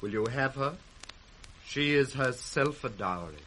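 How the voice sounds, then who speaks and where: A man's voice: medium pitch, lively intonation, unhurried, pushed and bright, a little hiss or hum. An actor playing a noble, ardent young-hearted king in a 1960s classical radio drama, lofty and earnest. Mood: ardent, tender. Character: noble, ardent king of France, honourable suitor.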